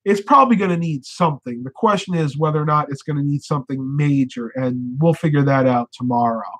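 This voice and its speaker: Casting: young adult American male, slightly high voice, informal livestream host talking casually in a small bedroom. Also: fairly quick, fairly bright tone. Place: small furnished bedroom, indoors.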